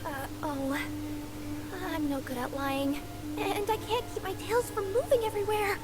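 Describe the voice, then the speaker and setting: A woman's voice: very high voice, lively intonation, moderate pace. A woman voicing a young, polite, easily flustered cat-spirit courier girl in a video game, earnest and prone to stammering. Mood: nervous, flustered. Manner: earnest, stammering when nervous. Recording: game capture with clear background noise.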